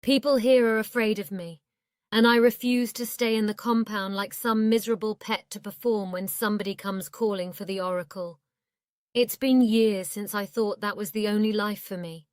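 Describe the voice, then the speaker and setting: Woman acting young oracle, determined, earnest, smooth clear voice, audiobook drama, dry. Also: mid-range voice, fairly even intonation, moderate pace, darkish tone, no echo, close to an everyday voice. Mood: bitter, defiant. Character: determined young female oracle yearning for freedom.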